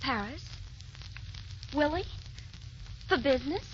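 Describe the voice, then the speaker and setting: An actress playing a proud, wounded young fiancée in an emotional, theatrical old radio drama style. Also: female voice, sits high, lively intonation, slow pace. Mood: hurt, suspicious. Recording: dull, noisy old radio recording.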